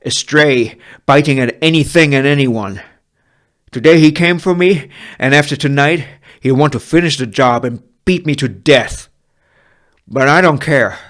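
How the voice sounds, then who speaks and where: A man's voice: medium pitch, unhurried, a bit bright, pitched above the performer's own voice, close to an everyday voice. A man voicing a bitter, defiant saloon matron with slurred words in a Western script reading. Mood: scornful, rallying. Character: defiant battered saloon matron, rousing her townsfolk.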